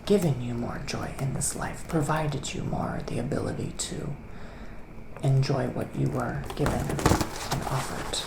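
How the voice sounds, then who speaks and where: A man playing a gentle psychic witch in a soft ASMR roleplay, partly whispering, with hesitant, drawn-out phrasing. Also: medium pitch. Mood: soothing, assured. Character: gentle male witch giving psychic tarot readings. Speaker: ASMR performer. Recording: roomy echo, clear background noise.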